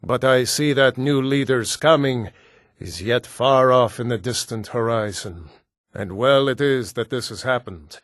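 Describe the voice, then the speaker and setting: An actor playing a proud, solemn Nord hunter and warrior in a video game, speaking in a measured, formal way. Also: male voice, mid-range voice, fairly bright tone, no room sound, clean studio sound, close to an everyday voice. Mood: stern, reproachful. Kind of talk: scripted video game character dialogue.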